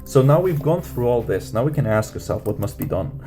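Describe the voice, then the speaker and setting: A man's voice: animated, brisk. Rambling man teaching over guitar music. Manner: rambling, many fillers and restarts, rising pitch on questions. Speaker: meditation teacher. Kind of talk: unscripted teaching talk. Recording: music playing under voice.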